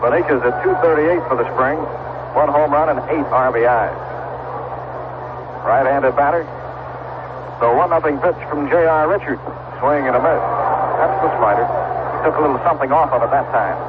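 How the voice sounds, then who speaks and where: A man's voice: a little high, moderate pace, long pauses, narrow, tinny audio, very noisy. A man calling baseball play-by-play as a radio announcer on a vintage 1970s broadcast. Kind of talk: live radio sports commentary. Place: outdoor ballpark.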